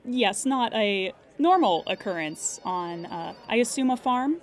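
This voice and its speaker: A woman playing a calm, formal claims agent who speaks politely and methodically on a phone call in an improvised comedic audio drama. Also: mid-range voice, natural voice. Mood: polite, businesslike. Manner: polite, measured, procedural, matter-of-fact.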